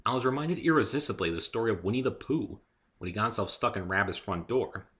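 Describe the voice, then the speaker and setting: Lower-voiced man narrating, very animated. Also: fast. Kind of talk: first-person dramatic story reading.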